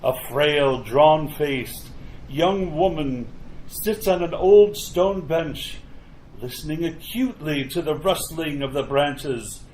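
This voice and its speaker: A man acting as the deliberate, ominous narrator of a retro radio mystery, with dramatic delivery. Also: fairly high pitch, darkish tone. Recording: roomy echo, noticeable background noise.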